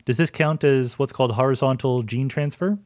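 A man's voice: medium pitch, a little fast, a little mellow, no echo, studio quality. A man hosting a science podcast, asking curious questions and thinking aloud as he talks. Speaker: podcast host. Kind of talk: conversational science interview.

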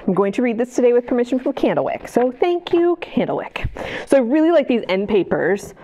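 Animated woman reading to young children. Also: fairly high pitch, brisk. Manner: animated and sing-song, with gasps and questions to young listeners. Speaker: children's librarian. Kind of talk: reading picture books aloud at storytime.

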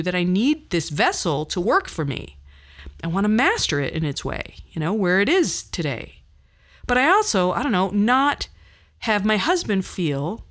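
A woman in her fifties hosting a podcast, telling personal stories in a candid, wry and self-deprecating conversational way. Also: medium pitch. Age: 50s. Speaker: podcast host. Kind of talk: personal monologue storytelling, conversational.

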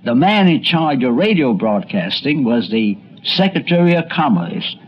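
A man's voice: medium pitch, very animated, normal speed, slight background noise. A man narrating a scripted history documentary like a storyteller, with conversational asides. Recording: dull, lo-fi old recording.

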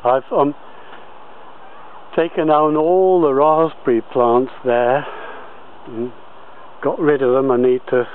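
A man with a medium-pitched voice talks slowly through an informal garden tour, often halting mid-sentence as he goes. He is recorded outdoors on a handheld camera, with dull, lo-fi sound and faint background noise. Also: fairly even intonation. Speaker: allotment gardener filming a tour. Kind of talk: informal video tour commentary. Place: outdoors on an allotment. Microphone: handheld camera held by the speaker.

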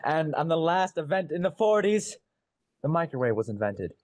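A young man with a higher-pitched voice co-hosts a light game segment. He is playful and announcer-like, laying on mock drama as he presents. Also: big pitch swings, moderate pace, a little mellow. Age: young adult. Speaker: podcast co-host. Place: indoor room at home, video call. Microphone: video call.

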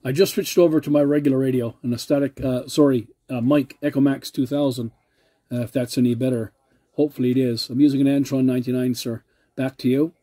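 A man's voice: medium pitch, fairly even intonation, brisk, dark tone. A man making a CB radio contact, polite and using radio procedure words. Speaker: CB radio operator.

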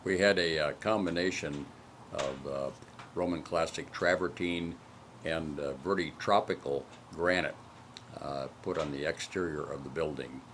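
Super deep guy explaining stuff, lots of uh's, bit of faint noise behind. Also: fairly bright tone. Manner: halting, many "uh" fillers. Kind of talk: explanatory narration.

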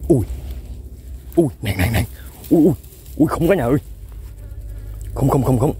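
A young man excitedly commenting to viewers while fishing outdoors, exclaiming often. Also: medium pitch, a little slow, husky. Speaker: fishing vlogger. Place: outdoors in fields.

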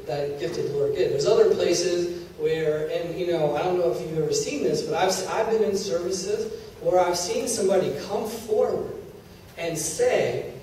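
A man's voice: sits high, moderate pace, warm tone, slight background noise. A middle-aged man teaching a church congregation in a conversational preaching style, often checking in with his listeners. He holds a handheld mic in a large church hall. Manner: conversational preaching, checks in with "Are you with me?" and "okay?". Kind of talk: sermon teaching. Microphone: handheld mic, filmed from a far camera. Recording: echoey hall from far off.

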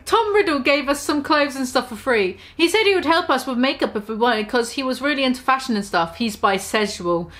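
A woman's voice: sits high, expressive, brisk, hardly any pauses, fairly bright tone. A woman in her twenties giving a dramatic comic reading, exaggerated and mock-serious, with amused asides. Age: 20s. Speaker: online video host. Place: small room at home.

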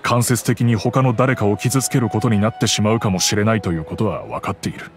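An actor gives a kind middle-aged father with a lowish, steady voice his low register. He speaks in a calm, reflective way, full of quiet regret, as faint game music plays underneath. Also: a little fast, natural voice. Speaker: wealthy father recounting the past. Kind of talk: game cutscene voice acting.

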